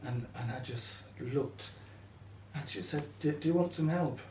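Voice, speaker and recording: Mellow older man reminiscing, echoey room. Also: fairly high pitch, a little slow. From Caribbean, then England from childhood. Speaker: interviewee. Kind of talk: personal storytelling in an interview. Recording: echoey room, distant mic, faint noise.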